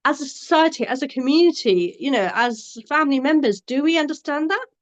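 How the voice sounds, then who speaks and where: A woman's voice: high voice, expressive, brisk, lo-fi sound. A woman from Bangladesh who supports abuse survivors, talking earnestly and emphatically, often restarting her phrases. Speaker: domestic abuse support worker. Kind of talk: passionate discussion.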